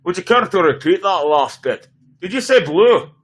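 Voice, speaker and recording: A man playing a grim, cautious starship commander in a sci-fi dramatic reading, serious and urgent, with a husky voice. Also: sits high, animated, normal speed, fairly bright tone, full character voice. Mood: alarmed.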